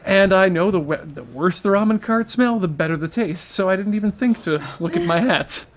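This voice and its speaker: A man's voice: high voice, brisk, slight background noise. A man telling casual, humorous stories to an audience on a livestream. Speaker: storyteller on a charity livestream.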